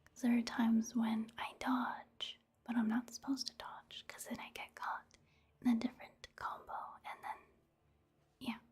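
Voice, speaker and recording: Breathy, whispering woman, slow and hushed. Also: a little high, level tone. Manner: hushed, unhurried, halting delivery. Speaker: gaming ASMR creator. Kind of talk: soft-spoken ASMR game commentary.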